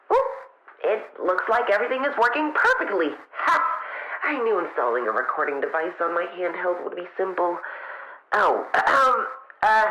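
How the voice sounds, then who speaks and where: A man voicing an eager, nervous young fox boy inventor who records a log in an audio drama, chatty and halting. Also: on the high side, bright, forceful tone, echoey room, slight background noise, exaggerated voice, poor audio. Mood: eager, flustered. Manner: halting, self-interrupting, awkwardly chatty.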